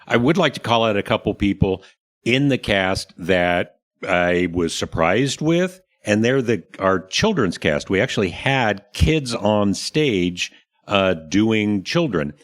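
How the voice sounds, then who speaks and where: A man's voice: lowish voice, very animated, slow pace. A man hosting a talk show in a casual, conversational style, explaining things and sometimes searching for his words.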